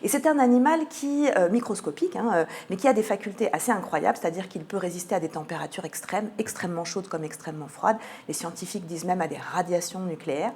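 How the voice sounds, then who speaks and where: A woman's voice: on the low side, fast talker, hardly any pauses, darkish tone, slight background noise. A woman with an accent from France, explaining a cultural programme as a radio interview guest, articulate but often hesitating as she finds her words. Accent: French from France.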